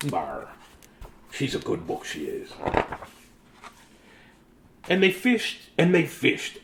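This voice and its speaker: Animated man reading a gravelly bedtime story. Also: lowish voice, a little hiss or hum. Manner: silly, jokey, sing-song reading cadence. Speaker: storyteller and host. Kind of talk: playful bedtime story reading with joking asides.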